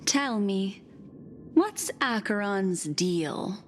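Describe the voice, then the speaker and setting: An actress playing a composed, aloof and mysterious memory keeper in a video game, speaking in a cool, probing, deliberate way. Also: female voice, medium pitch, lively intonation, slight background noise.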